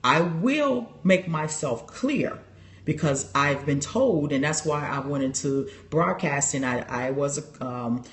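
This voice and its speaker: A woman hosting a casual livestream on her phone, animated and laughing often as she talks straight to her viewers. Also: sits low, takes it slowly. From Chicago. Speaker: livestream host. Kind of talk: casual personal talk to viewers.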